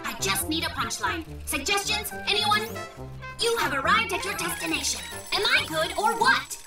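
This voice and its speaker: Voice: very high-pitched, animated, normal speed, creaky, reverberant, recorded from a distance, heavily acted. An actor voicing a cheerful little robot navigation gadget in a children's cartoon, formal and precise yet chatty, with music behind. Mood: chatty, pleased. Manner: formal, precise announcing, eager to please.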